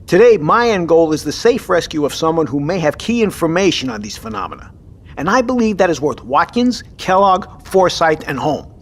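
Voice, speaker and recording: Stern army captain for an audio drama, husky, kinda high voice, duty first, very animated. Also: male voice, moderate pace. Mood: stern, resolute. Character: stern, duty-bound military captain, commanding and resolute.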